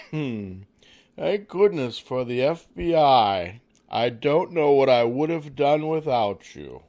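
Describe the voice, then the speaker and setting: Man playing a bewildered householder, swooping pitch. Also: huge pitch swings, drawn-out words, warm tone, a little hiss or hum, heavily acted. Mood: bewildered, relieved. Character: bewildered, whiny male householder in his bedclothes. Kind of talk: radio mystery acting.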